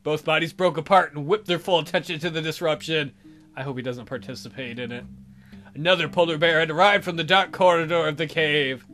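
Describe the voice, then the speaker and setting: Theatrical, high-voiced man over music. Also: fairly even intonation, brisk, bright, forceful tone. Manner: theatrical, jokey, hammy delivery. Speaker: let's play host. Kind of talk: reading a visual novel aloud with dramatic commentary. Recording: background music behind voice.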